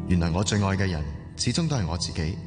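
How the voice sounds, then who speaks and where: A man's voice: sits low, expressive, moderate pace, in a large hall, over music. An actor playing a stubborn young man who defends his older lover, sullen and clipped, with a Hong Kong Cantonese accent. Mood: bitter. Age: young adult. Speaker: young IT worker in love. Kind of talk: 1990s radio drama acting.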